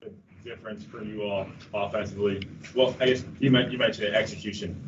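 A man asking questions at a press conference as a reporter, halting and restarting as he goes. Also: on the low side, fairly even intonation, recorded from a distance, muffled recording, slight background noise.

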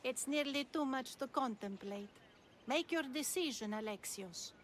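An actress playing an enigmatic, lofty older wise woman in a video game, speaking in a measured, cryptic, superior way. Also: high-pitched, animated, a little hiss or hum. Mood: lofty, knowing. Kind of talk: video game cutscene dialogue.

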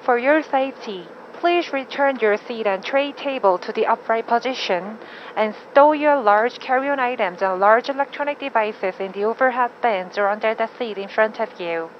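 Woman, flight attendant, formal cabin script, higher voice, faint cabin noise. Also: very slow, hardly any pauses, dull audio. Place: aircraft public address system.

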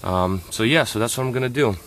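A man's voice: medium pitch, fast, fairly bright tone, a little hiss or hum. A man working on a car engine outdoors, explaining each step in a casual repair walkthrough and muttering to himself as he goes. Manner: talks to himself while working, short muttered remarks. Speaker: home mechanic. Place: outdoors at a car's engine bay.

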